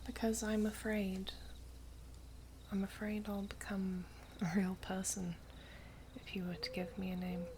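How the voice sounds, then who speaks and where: A woman playing a gentle, wistful elven princess in an ASMR roleplay, speaking in a breathy, hushed voice with formal storybook phrasing. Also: medium pitch, level tone, a little slow, background noise, close to an everyday voice. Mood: soft, tender. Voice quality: breathy, whispery. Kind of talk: ASMR roleplay, soft whispery close speech.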